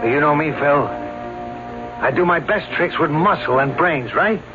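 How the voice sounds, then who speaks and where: An actor in a radio drama playing a boastful, stubborn aging escape artist who talks in brash slang. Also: male voice, a little high, moderate pace, lightly acted. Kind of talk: old-time radio drama acting. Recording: dull radio recording, loud music.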